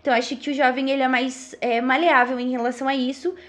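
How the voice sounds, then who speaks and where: A thirty-year-old Brazilian woman explaining things earnestly as an interview guest, pausing often and checking in with tag questions. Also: sits high, little pitch movement. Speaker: podcast guest, missionary.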